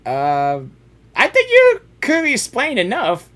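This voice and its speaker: A woman game streamer giving casual, excitable live reactions and reading dialogue aloud, often repeating herself. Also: high-pitched, slow.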